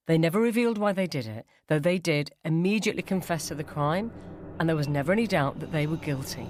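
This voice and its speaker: Low-voiced woman narrating a ghost story. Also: a little mellow. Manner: suspenseful, measured, acts out dialogue. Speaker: narrator reading a short story. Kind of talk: scripted dramatic first-person ghost story narration.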